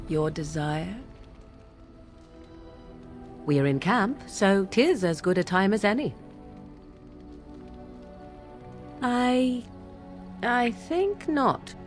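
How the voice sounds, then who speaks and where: Young witch, medium pitch, haughty, guarded, dramatic archaic phrasing, game dialogue, light music. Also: animated. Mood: guarded, irritated. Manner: haughty, dramatic, halting under emotion. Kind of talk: video game character acting.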